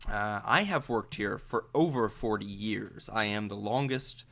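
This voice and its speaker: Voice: mid-range voice, unhurried, vocal fry, fairly bright tone. A game master voicing a polite, officious elderly woman who guides museum tours, with a formal, hesitant manner.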